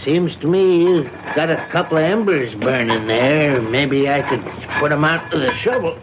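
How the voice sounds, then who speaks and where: An actor playing a nervous, apologetic small-town taxi driver with a halting, folksy manner in a radio drama. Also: male voice, a little high, lightly acted. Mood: stalling, folksy. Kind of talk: old-time radio drama acting. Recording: noisy 1970s radio drama broadcast.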